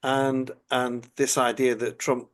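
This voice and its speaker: A British man hosting a podcast interview, asking long, halting questions full of stammers and fillers. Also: mid-range voice, little pitch movement. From United Kingdom. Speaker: podcast host.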